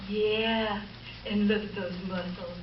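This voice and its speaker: A woman's voice: mid-range voice, fairly even intonation, slow, close to an everyday voice. A woman playing a dim, brassy telephone operator sidekick in an old-time radio comedy on stage. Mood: brassy, goofy. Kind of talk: 1940s radio comedy acting. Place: live stage show before an audience. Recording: echoey stage recording, distant, dull, audience noise.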